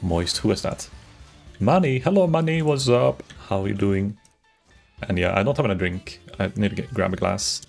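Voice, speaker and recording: Swedish man, game streamer, very low voice, gravelly fry, rambling chat with faint music behind. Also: normal speed, a little mellow. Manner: rambling, chatty, greets viewers, frequent restarts. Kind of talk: casual live-stream chat while gaming.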